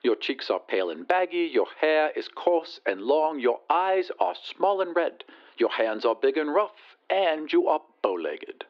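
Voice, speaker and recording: A man's voice: a little high, big pitch swings, a little slow, dull audio, pitched above the performer's own voice. A man voicing a vain, haughty young society lady in a storybook reading, blunt and condescending. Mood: disdainful.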